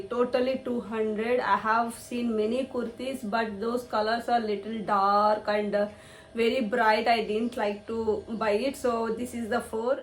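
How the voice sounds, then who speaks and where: A young woman talks through a casual shopping haul, her husky voice roughened by a fever. She sits a little high in pitch and keeps coming back to clear her throat. The room is echoey, with a faint hiss underneath. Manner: chatty, repetitive, showing items to camera. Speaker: vlogger.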